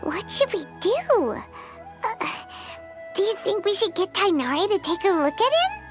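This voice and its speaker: Squeaky, extremely high fairy sidekick. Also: female voice, big pitch swings, measured pace, over music, heavily acted. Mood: baffled, exclamatory. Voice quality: squeaky, childlike. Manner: exclamatory, speaks of self in third person. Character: tiny childlike female fairy companion, excitable and blunt. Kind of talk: video game voice acting.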